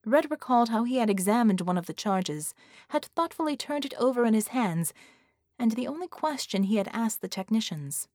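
A woman's voice: on the high side, lively intonation, normal speed, darkish tone, no room sound, clean studio sound. A woman reading an action story as an audiobook narrator, in a dramatic scripted style.

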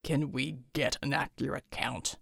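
A woman narrator puts on a gruff male superhero commander for an audiobook. The voice is a very gravelly low rumble, slow and measured, recorded dry. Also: steady tone, fairly bright tone, no echo, full character voice.

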